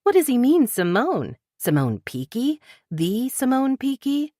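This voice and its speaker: A woman's voice: on the low side, big pitch swings, normal speed, dry recording, clean studio sound, pitched above the performer's own voice. A woman voicing a blunt, suspicious woman writer who questions sharply and demands answers. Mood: demanding, tense.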